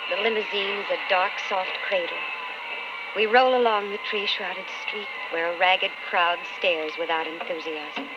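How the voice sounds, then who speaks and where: An actress playing a candidate's anxious, devoted wife in an old-time radio drama, earnest and melodramatic, with brooding narration. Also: female voice, fairly low pitch, fairly even intonation, normal speed, close to an everyday voice. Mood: brooding, foreboding. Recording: noisy, muffled vintage radio recording.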